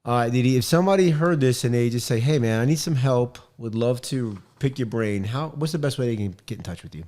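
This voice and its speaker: A man's voice: mid-range voice, a little mellow. Upbeat middle-aged male podcast host. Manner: upbeat, joking, quick interjections. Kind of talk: casual interview podcast. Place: home studio office. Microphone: studio mic on boom arm, headphones.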